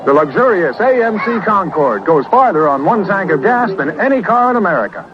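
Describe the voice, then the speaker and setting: A man's voice: sits high, big pitch swings, a little fast, crowd noise. A man reading a scripted car advertisement as a radio commercial announcer. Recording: dull, noisy old radio recording.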